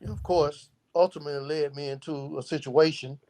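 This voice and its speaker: Middle-aged male interviewee, slightly high voice, casual and reflective delivery. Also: fairly even intonation, normal speed, darkish tone. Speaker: interview guest, founder of a youth organisation.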